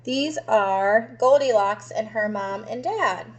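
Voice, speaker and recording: A woman with a high-pitched voice reads a children's storybook aloud. She is expressive and explains things as she goes, speaking directly to young listeners. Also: takes it slowly, breathy edge. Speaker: storybook reader. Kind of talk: children's picture-book read-aloud with asides to young listeners.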